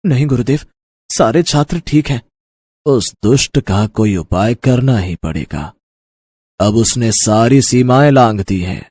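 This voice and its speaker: A man's voice: mid-range voice, lively intonation, measured pace, darkish tone. A man voicing a young male disciple in a storybook tale, devoted, anxious and brave, with an eager, respectful, pleading manner. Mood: earnest, obedient. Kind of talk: animated storybook character acting.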